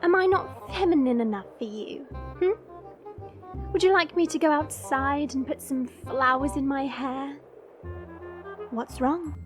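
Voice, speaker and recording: Woman playing a haughty, high-pitched dragon princess. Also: a little slow, long pauses, soft background music. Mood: mocking. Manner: imperious, mocking, commanding. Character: haughty, short-tempered dragon princess trapped in human form. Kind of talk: audio roleplay drama acting.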